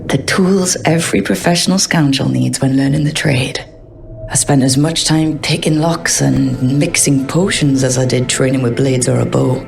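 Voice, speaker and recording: An actor voices a young elf rogue in a fantasy game, with a gravelly edge to the voice. The delivery is wry and sarcastic, full of dry jokes. Faint music sits behind the dialogue. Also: mid-range voice, moderate pace, close to an everyday voice. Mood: reflective.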